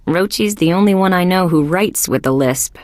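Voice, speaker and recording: A woman playing a wry, skeptical young female police detective in a video game, with a deadpan, sardonic delivery. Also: fairly low pitch, moderate pace, no room sound, studio quality, close to an everyday voice. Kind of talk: scripted game character lines.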